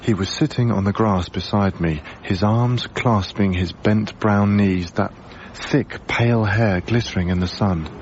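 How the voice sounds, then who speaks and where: A dull radio broadcast recording holds an actor as a young British man in an intimate radio play. He is a reflective, heartbroken lodger who speaks gently and with measured care. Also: on the low side, a little hiss or hum, lightly acted. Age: young adult. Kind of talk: BBC radio drama acting, intimate first-person narration.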